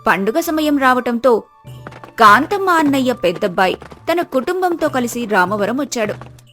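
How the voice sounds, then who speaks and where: A woman narrating a children's moral story in a lively, expressive storybook style. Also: on the high side, normal speed, faint music behind.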